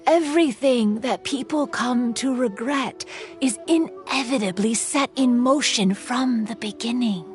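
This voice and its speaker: A woman voicing a wise, sorrowful female yokai spirit, telling a tale solemnly in a game cutscene. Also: a little high. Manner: slow, solemn, melancholy telling.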